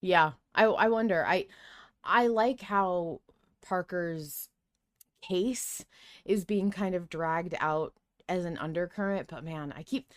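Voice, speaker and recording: A woman with a slightly low voice hosts a casual podcast discussion. Her voice is recorded very dry, with no room sound around it. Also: takes it slowly, dry recording. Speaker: podcast host.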